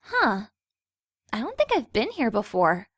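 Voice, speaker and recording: A woman acting as a young cartoon mare with a high voice, recorded close and dry. She is sensible and sardonic, scolding gently in a matter-of-fact way. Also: huge pitch swings, measured pace, breathy edge, dry recording, exaggerated voice. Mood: curious. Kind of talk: cartoon fan fiction voice acting.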